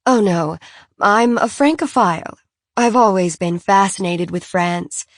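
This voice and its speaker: A woman's voice: medium pitch, a little slow, no room sound, studio quality, close to an everyday voice. A woman reading an audiobook, voicing a genteel, fussy mother who talks in a prim, gushing and insistent way. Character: genteel fussy francophile mother, high-strung.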